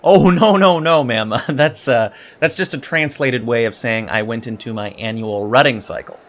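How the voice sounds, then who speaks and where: A man's voice: medium pitch, normal speed, lightly acted. A man playing a polite, precocious teenage alien cadet in a sci-fi audio drama, earnest and slightly hesitant. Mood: earnest, explaining. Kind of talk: scripted audio drama dialogue.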